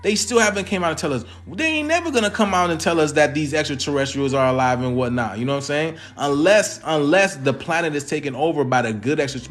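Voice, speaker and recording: Haitian guy ranting casual commentary, repeats himself a lot, soft music playing. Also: medium pitch. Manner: emphatic, repetitive, slangy. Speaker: host reacting to a clip.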